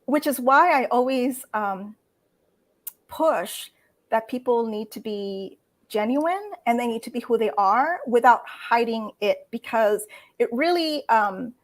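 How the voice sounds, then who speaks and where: A middle-aged woman with a fairly high voice speaks as an interview guest. She talks earnestly and thinks aloud, with frequent fillers and self-corrections. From United States. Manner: earnest, many fillers and restarts. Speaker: guest writer. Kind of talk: casual interview talk.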